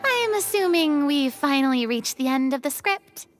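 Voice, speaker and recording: A woman voicing a girlish, earnest young lady in a video game, courteous and dramatically expressive. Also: high-pitched, normal speed, soft background music. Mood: impressed. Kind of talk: scripted video game dialogue.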